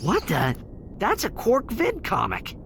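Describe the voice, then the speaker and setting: Boyish, swooping-voiced young hero. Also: male voice, high voice, huge pitch swings, slow pace, gravelly, a bit bright, slight background noise, full character voice. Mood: baffled. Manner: casual, boyish, incredulous. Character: cocky, casual young male alien hero. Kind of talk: video game cutscene acting.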